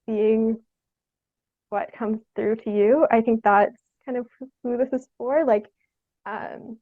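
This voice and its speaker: A woman's voice: on the high side, dry recording, narrow, tinny audio. A young woman, answering interview questions casually in a hesitant, self-effacing way. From Ontario, Canada. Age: young adult. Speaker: interview guest, theatre artist.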